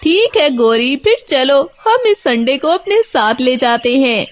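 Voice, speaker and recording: High-pitched male cartoon crow, done by a woman, kindly husband, calm, gentle, reassuring. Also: unhurried. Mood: agreeable. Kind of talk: Hindi children's cartoon voice acting.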